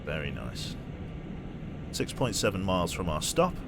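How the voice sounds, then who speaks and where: A British man streaming a train game, chatting casually and getting easily excited, swearing now and then. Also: unhurried, gravelly. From United Kingdom. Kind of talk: casual gameplay commentary. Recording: loud train rumble behind voice. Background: train simulator engine and rail noise.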